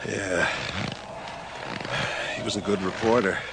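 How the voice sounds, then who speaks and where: A matter-of-fact rescue man with a fairly low voice in a radio drama. He talks in a folksy, plain way. The old recording is very noisy. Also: fairly even intonation, slow, vocal fry. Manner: plain-spoken, colloquial. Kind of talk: radio horror drama acting.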